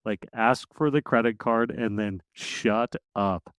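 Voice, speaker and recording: A man's voice: mid-range voice, takes it slowly, clear, resonant tone, dry recording. A man guesting on a podcast, talking casually and animatedly, laughing often, with a powerful voice that projects. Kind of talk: casual interview conversation.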